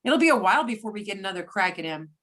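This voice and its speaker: Brisk female agent, read by a woman, wry, mid-pitched, for an amateur audio play. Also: very animated, a bit bright. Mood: matter-of-fact. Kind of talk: amateur readers theater over a remote call.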